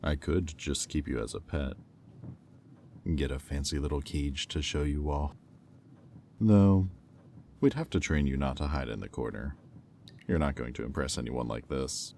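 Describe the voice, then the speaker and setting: A man playing a haughty, menacing demon in roleplay audio, speaking in a condescending, deliberate, taunting drawl. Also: extremely low pitch, vocal fry, a little mellow, a little hiss or hum. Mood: threatening, taunting. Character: haughty, menacing male demon buyer, coolly cruel and mocking. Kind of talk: slow, intimate roleplay audio acting.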